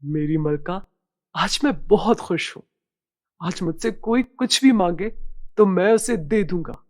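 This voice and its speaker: A commanding king from a cartoon fairy tale, acted by a woman. He speaks low, with regal, declarative phrasing, persuasive and possessive in temper. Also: a little slow, lightly acted. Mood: pleased, generous. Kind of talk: animated fairy-tale dialogue.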